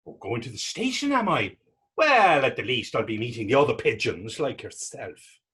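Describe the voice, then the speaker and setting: A man playing a taunting, defiant Irishman in a readers theater drama, with a husky voice, an Irish accent and a mocking, wordy old-fashioned way of talking. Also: medium pitch, very animated, normal speed, a bit bright, exaggerated voice. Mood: wry, teasing. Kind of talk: readers theater stage acting.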